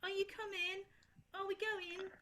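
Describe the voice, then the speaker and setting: A woman's voice: very high-pitched, little pitch movement, measured pace, bright, forceful tone, heavily acted. A woman reading a chattering little boy in an amateur nativity play reading. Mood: eager. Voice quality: rambling, eager. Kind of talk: amateur play reading over a video call.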